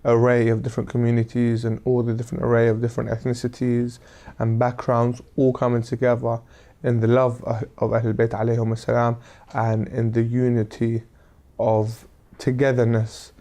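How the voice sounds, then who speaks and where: A man recapping the events of a tour in a measured, storytelling way. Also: mid-range voice, completely flat delivery, mellow. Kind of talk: interview recap.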